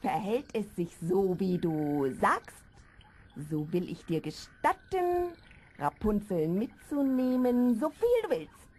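A woman playing a stern, commanding sorceress in a fairy-tale audio play, with theatrical, drawn-out delivery. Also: medium pitch, very animated, warm tone, husky, full character voice. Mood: imperious, bargaining. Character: stern, imperious, feared sorceress, adult female.

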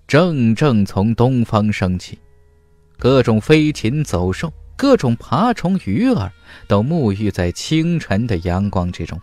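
A man narrating a fantasy audiobook in a dramatic scripted style, with emphatic, deliberate delivery. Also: fairly low pitch, a little mellow, dry recording.

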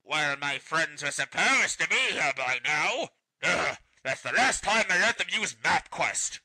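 A man's voice: on the high side, animated, a little slow, sharp tone, no echo, exaggerated voice, poor audio. A man voicing a hapless, theatrical ninja villain in a comedic cartoon parody dub. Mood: exasperated.

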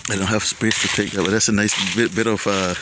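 A man's voice: lowish voice, steady tone, quick pace. A man narrating a casual nature walk to his phone camera, rambling and thinking aloud with many fillers. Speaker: nature vlogger. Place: outdoors on a windy coast and scrub. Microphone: phone camera held by the speaker. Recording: loud wind and outdoor noise.

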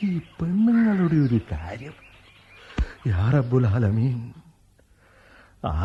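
An actor playing a doting, teasing young husband in a radio drama, with a playful and indulgent manner. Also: male voice, on the high side, husky, lo-fi sound, full character voice. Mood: musing. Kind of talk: 1990s-style radio drama acting.

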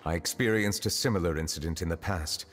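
Casting: male actor as ageless game magistrate, grave and courteous, very low voice, long reverb with music underneath. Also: lightly acted. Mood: solemn, modest. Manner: formal, deliberate, reserved. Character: solemn, courteous, ageless male chief justice. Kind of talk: video game voice acting.

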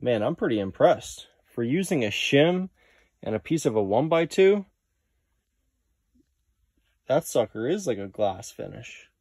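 A man's voice: mid-range voice, sing-song, a little slow, pauses often, darkish tone, dry recording, studio quality. A young man giving a casual walkthrough of a basement renovation he is working on, explaining as he goes and calling his viewers "guys" again and again. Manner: casual, explanatory, says "guys" and "right?" often. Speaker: real estate investor vlogger. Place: basement under renovation, indoors. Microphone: phone camera held at arm's length.

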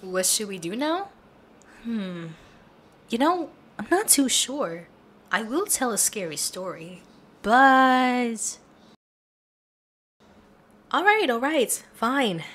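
A woman's voice: a little high, big pitch swings, takes it slowly, long pauses, fairly bright tone. A woman performing a girlfriend roleplay for listeners, coaxing and affectionate. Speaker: ASMR roleplay creator.

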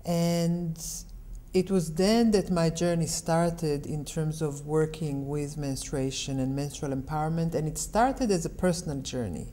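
A middle-aged woman from Israel answers interview questions at an unhurried pace. Her voice sits low and sounds mellow. She explains things in a calm, measured way. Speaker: interview guest.

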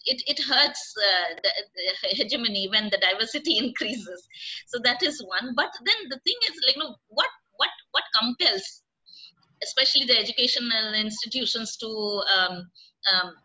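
A woman's voice: fairly high pitch, sharp tone, rough recording. A middle-aged woman professor speaking on a panel over a video call from a room at home, thoughtful and hesitant, with many fillers and restarts. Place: room at home with orange curtains. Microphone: laptop webcam on video call.

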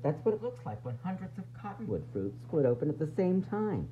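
A man reads aloud to small children in a teacherly, playful way. His pitch swings widely as he stresses words for drama and slips in asides. The sound is slightly dull and lo-fi, with a faint noise behind it. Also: very animated, fairly quick. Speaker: teacher reading a picture book aloud. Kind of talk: animated read-aloud for young children.

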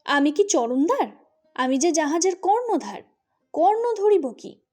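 A woman voicing a witty, teasing, sharp-tongued married sister-in-law in an audio storybook, with pointed bantering retorts. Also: extremely high pitch, big pitch swings, unhurried, faint music behind, voice pushed up, heavily acted. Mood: playful retort.

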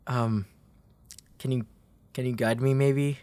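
Protective big brother, acted by a man, intimate roleplay audio: slow, halting, reassuring, playful, mid-range voice. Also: medium pitch, fairly even intonation, darkish tone, dry recording, natural voice. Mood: soft, lightly teasing. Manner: hesitant, soothing, halting delivery. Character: gentle, protective, playful big brother comforting an anxious sibling.

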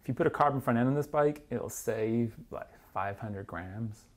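A man's voice: medium pitch, moderate pace. A man in his thirties talking informally as a bike reviewer, often hedging with filler words like "like" and "kinda". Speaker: mountain bike magazine reviewer. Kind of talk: casual product review discussion. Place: small indoor studio workshop.

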